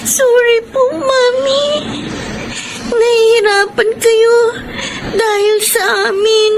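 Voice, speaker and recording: A woman's voice: very high voice, level tone, takes it slowly, over loud music, poor audio. A woman acting a devoted, worried young daughter, crying as she speaks in a Filipino radio drama. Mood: tearful, worried. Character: tearful, devoted young daughter of an ailing mother.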